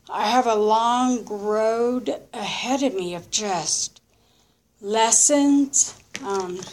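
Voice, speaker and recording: Slow-paced woman in her early fifties. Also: a little high, a little hiss or hum. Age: early 50s. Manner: halting, reflective, speaks in short confessional phrases. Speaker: recovering alcoholic sharing her story. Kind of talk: candid personal testimony, unscripted monologue.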